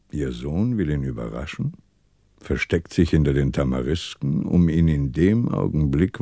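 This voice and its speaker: An actor playing a shrewd, commanding police commissioner with a measured, dryly ironic manner in a radio crime drama. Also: male voice, rumbling low, creaky, mellow, no room sound, studio quality, exaggerated voice. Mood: probing, thoughtful. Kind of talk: 1960s German radio crime drama acting.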